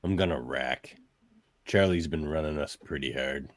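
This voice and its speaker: A man playing a blunt, gruff, tough brawler in a radio play, speaking tersely. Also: extremely low pitch, moderate pace, no echo, studio quality. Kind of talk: radio-play script reading.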